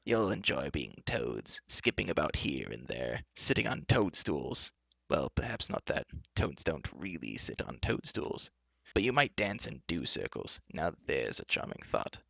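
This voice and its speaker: A man voicing a plump, cheerfully menacing old enchantress from a storybook, coaxing and indulgent as she calmly threatens. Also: extremely low pitch, a little slow, creaky, dry recording, voice pushed down, full character voice. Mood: soothing, menacing. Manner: kindly, coaxing, matter-of-fact about threats. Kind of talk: storybook character reading.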